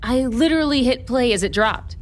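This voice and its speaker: A woman's voice: on the high side, a little fast, a little hiss or hum, natural voice. A woman playing a wry, harried TV news reporter in an audio drama, sardonic and casual. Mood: teasing, affectionate. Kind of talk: naturalistic audio drama acting.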